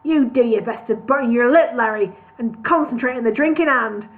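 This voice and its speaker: A woman voicing a loud, bullying male brewery boss with a gravelly, bellowing voice, on a tinny phone-like recording. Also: a little high, fairly even intonation, normal speed, lightly acted. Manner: bossy, shouting demands.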